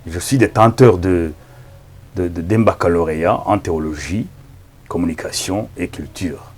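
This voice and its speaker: A man with a Rwandan accent, a native Kinyarwanda speaker, preaching as a pastor in a halting, emphatic manner with repeated words. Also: deep voice, a little mellow, breathy edge. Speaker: pastor and evangelist. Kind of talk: preaching introduction to camera.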